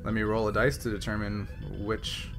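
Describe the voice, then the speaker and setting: A man running a tabletop roleplaying game as game master, explaining rules and thinking aloud in a halting way. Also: lowish voice, level tone, over music. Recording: noisy background.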